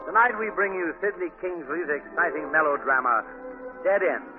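A man announcing a 1940s radio program in a formal, grand and deliberate style. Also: fairly high pitch, expressive. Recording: tinny 1940s radio recording, music behind. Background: music.

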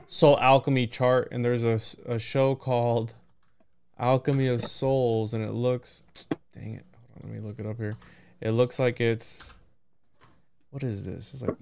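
A man with a very clear, smooth voice hosts a relaxed podcast conversation. He speaks informally and rambles a little, working out his thoughts as he goes. His pitch sits in an ordinary middle range for a man. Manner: informal, rambling, thinks aloud. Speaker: podcast host. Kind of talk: casual conversational interview.

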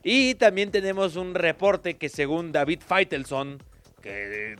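Man, sports co-host, smooth clear voice, a bit high, joking. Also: lively intonation, slow, fairly bright tone, faint music behind. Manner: joking, teasing. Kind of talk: casual sports talk.

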